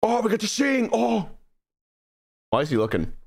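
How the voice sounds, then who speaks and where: A man's voice: sits high, huge pitch swings, normal speed, vocal fry, darkish tone. A young man streaming a horror game, giggling and making wry comments back at the screen.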